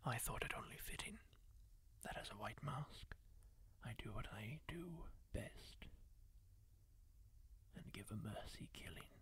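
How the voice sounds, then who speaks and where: A gentle masked healer-warrior from a fantasy roleplay, voiced by a man in a slow, gravelly whisper. The breathy hush dips and rises with lively swings of tone. It is spoken close to the mic, as in ASMR. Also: medium pitch. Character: kindly masked healer-warrior, calm storyteller.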